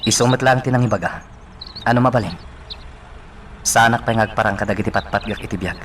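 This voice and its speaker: A man's voice: on the low side, brisk, close to an everyday voice. A man with an Ilocano accent playing an earnest young man on a secret mission in a radio drama. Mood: earnest, troubled. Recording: distant mic, noisy, birdsong behind.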